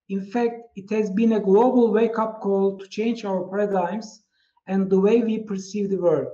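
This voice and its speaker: A man from Turkey with a Turkish accent, a native Turkish speaker, giving a prepared conference keynote in a measured way as he reads from his slides. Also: high voice, mellow. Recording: muffled, echoey room.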